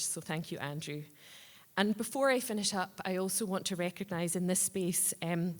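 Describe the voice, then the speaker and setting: A woman giving a semi-prepared speech as a charity representative presenting at an awards ceremony. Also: lowish voice. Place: large reverberant hall at a live ceremony. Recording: echoey hall with long reverb.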